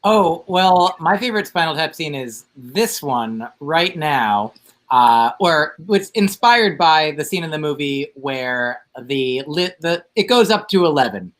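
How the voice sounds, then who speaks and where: A man's voice: a little high, a bit bright. Earnest man over-explaining a joke. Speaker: contestant. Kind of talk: explaining a joke on a comedy game show.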